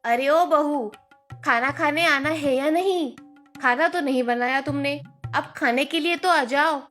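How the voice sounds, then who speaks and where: Cartoon family matriarch acted by a woman: middle-aged, high voice, nagging yet caring, music underneath. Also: measured pace, a bit bright. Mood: complaining. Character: middle-aged Indian mother and mother-in-law, nagging but caring. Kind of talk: Hindi animated moral story voice acting.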